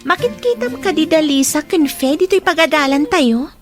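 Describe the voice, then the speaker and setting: A woman's voice: high-pitched, lively intonation, normal speed, music in the background. A woman playing a dutiful, affectionate college-age daughter in a radio drama. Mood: earnest.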